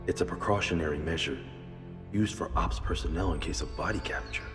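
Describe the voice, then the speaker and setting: A man playing a grave, reluctant squad commander with a brooding, halting delivery in an anime audio drama. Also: sits low. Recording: long reverb under clear music.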